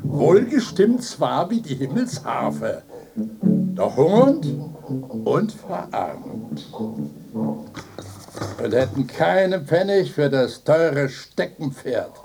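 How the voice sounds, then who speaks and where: An actor playing a cheeky, impudent servant in a radio play, speaking in a florid, declamatory way. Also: male voice, on the high side, sing-song, slow, vocal fry, long pauses, loud music behind the voice, exaggerated voice. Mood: florid, pleading. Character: cheeky, theatrical male servant addressing his master. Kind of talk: German radio play acting.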